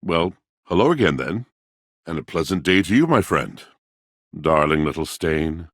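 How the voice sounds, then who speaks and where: An actor playing a lovelorn, poetic washing machine in a video game, with florid, dramatic phrasing and a husky voice. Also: male voice, low-pitched, sing-song, a bit bright, dry recording, clean studio sound, exaggerated voice. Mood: courtly, pleased. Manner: florid, grandiloquent, dramatic phrasing with weighty pauses. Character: lovelorn, poetic, theatrical male washing machine come to life. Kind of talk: theatrical video game character acting.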